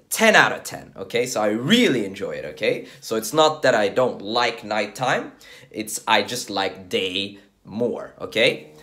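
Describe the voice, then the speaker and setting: Lively 31-year-old man, chatty coach. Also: medium pitch. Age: 30s. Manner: repeats "okay?" as a check-in, conversational and direct. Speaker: dating coach. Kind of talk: casual talk to camera.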